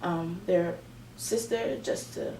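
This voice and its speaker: A woman's voice: on the low side, slow, mellow. Young woman leading a group prayer. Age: 20s. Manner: earnest, many fillers, prayerful cadence. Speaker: student group facilitator. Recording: echoey room, distant mic, background noise.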